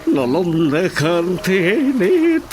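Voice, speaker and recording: Slow, wildly swooping befuddled eccentric visitor. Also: female voice, on the low side, very animated, a little mellow, full character voice. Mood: rambling. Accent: Ilocano. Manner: rambling, stammering, theatrical. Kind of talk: Ilocano radio drama comedy acting. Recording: music behind the voice. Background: music.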